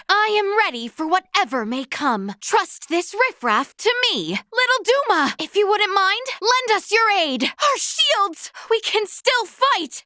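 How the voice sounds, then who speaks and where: Earnest noble warrior girl for a video game, bright voice, unhurried, old-timey formal speech. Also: very high voice, no room sound, studio quality, exaggerated voice. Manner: formal, archaic, solemn diction. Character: noble young swordswoman, earnest, resolute, formal fantasy heroine. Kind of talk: video game battle voice acting.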